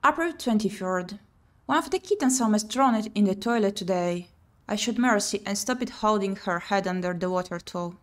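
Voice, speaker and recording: A woman streaming a visual novel playthrough, reading the text aloud and thinking out loud as she plays. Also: mid-range voice, moderate pace. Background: game music.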